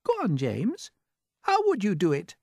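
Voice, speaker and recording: A man voicing a gentle, encouraging female ladybird in a children's story, in a coaxing manner. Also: sits high, huge pitch swings, measured pace, slightly rough, dry recording, clean studio sound, pitched above the performer's own voice, heavily acted.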